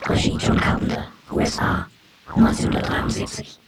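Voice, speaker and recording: A man's voice: moderate pace, far from the mic, background noise. A man reading out scripted source credits as a radio feature announcer.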